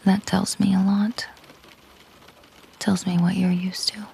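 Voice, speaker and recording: Casting: actress as possessive mafia boss girlfriend for intimate audio roleplay; dark, unhurried, hushed voice that drops into whispers, controlled and coolly tender. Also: medium pitch, little pitch movement, a little hiss or hum. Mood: cool, probing. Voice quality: soft, breathy, often slipping into whisper. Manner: slow, deliberate, coolly possessive. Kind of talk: intimate roleplay audio drama, soft slow delivery.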